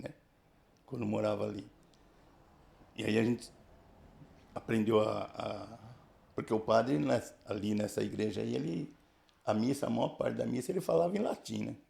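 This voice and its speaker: A man in his sixties from the São region of Brazil, telling his life story casually in a halting way, full of restarts and tag questions. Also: mid-range voice, creaky, a little mellow. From Brazil, São Paulo region. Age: 60s. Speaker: church member giving testimony.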